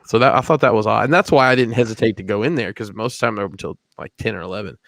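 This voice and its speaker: A man's voice: lowish voice, rapid-fire, creaky, a bit bright, no echo, studio quality. A man co-hosting a podcast, telling rambling stories with crude jokes in casual chat. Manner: joking, rambling, crude humor. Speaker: podcast co-host.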